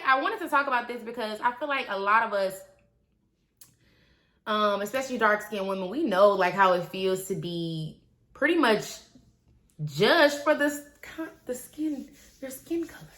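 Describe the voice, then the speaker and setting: A woman, talking casually and animatedly to her viewers, swinging between loud asides and quiet trailing remarks. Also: a little high, animated, a little slow, long pauses. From Milwaukee, Wisconsin. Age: 20s. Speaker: vlogger. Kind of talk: casual confessional vlog.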